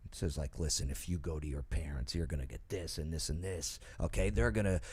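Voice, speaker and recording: A man's voice: extremely low pitch, fairly quick, darkish tone, slightly rough. A man hosting an opinionated talk show panel, emphatic and insistent, often tagging his points with "okay?" and "right?".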